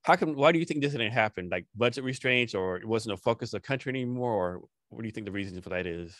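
Man, fast talker, dry close sound, podcast host asking questions. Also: medium pitch, expressive, a bit bright, no room sound. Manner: quick, casual questioning. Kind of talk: interview questions. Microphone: headset and boom mic in a treated studio.

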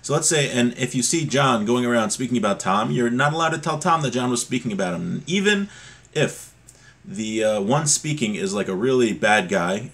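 A man in his thirties teaches religious law informally, explaining texts in a halting, repetitive way, recorded on a computer mic in a small room at home. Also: on the low side, a bit bright. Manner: halting, explanatory, repeats points. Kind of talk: informal text study, reading Hebrew passages and explaining them.

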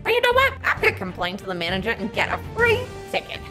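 A woman's voice: huge pitch swings, fast talker, over loud music. A woman voicing an entitled, bossy Karen villain who is whiny and demanding. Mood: gloating. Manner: whiny, shrill, demanding, bursts into squeals and cackles. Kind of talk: Roblox roleplay skit voice acting.

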